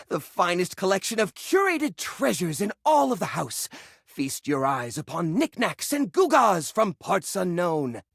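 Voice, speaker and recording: Excitable high-pitched eccentric junk collector. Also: male voice, no room sound, studio quality, heavily acted. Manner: theatrical, rambling showman flourishes. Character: excitable, eccentric male junk drawer who hoards treasures. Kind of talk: video game character acting.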